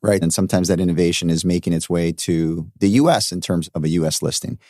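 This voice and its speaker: Podcast host, man, low voice, conversational questioner, dry studio, faint music under. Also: quick pace, a little mellow, no echo. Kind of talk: podcast interview.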